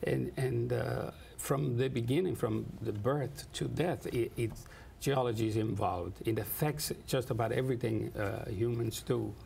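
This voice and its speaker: A man hosting an interview, asking questions in a halting way, with many restarts and fillers. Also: medium pitch, creaky, mellow, a little hiss or hum. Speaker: interviewer, host.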